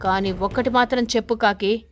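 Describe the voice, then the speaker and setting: A woman voicing a vengeful female ghost sparrow in an animated children's tale, threatening and accusing as she interrogates. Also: on the high side, fairly quick, natural voice. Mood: menacing. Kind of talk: animated children's story character voice.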